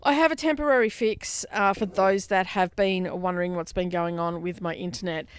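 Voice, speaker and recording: A croaky, stuffed-up woman doing a rambling, chatty voiceover on a microphone for her craft vlog. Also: lowish voice, normal speed. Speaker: craft vlogger. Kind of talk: casual voiceover chat.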